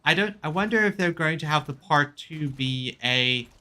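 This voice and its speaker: A man streaming a game, chatting casually in a halting way, often repeating and rephrasing himself mid-sentence. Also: fairly high pitch, animated, pushed and bright. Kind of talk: casual chat commentary on a game. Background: music in the background.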